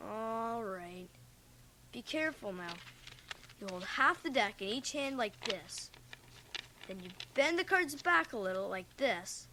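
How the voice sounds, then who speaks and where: A woman's voice: on the high side, expressive, measured pace, sharp tone. A woman playing an earnest, stubborn fourteen-year-old boy in a radio drama. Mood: patient, instructing. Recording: noisy cassette transfer of 1980 radio drama.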